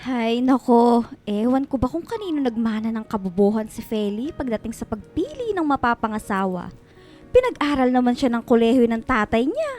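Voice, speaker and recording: Casting: haughty, sighing stepmother-in-law, middle-aged, openly contemptuous, Filipino radio drama over soft music. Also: on the high side, very animated, moderate pace. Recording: music bed behind voice.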